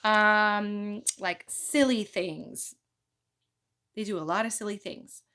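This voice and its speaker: A woman reading a picture book aloud to children in an expressive way, pausing to ask them simple questions. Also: medium pitch. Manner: expressive, child-directed delivery. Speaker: children's storytime reader, mother.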